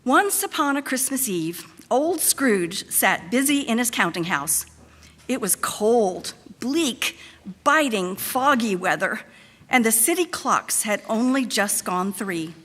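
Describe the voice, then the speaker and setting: Slow, expressive woman narrator in a reverberant hall. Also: on the high side. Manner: deliberate, expressive storytelling. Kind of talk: theatrical scripted narration of a story. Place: large church interior.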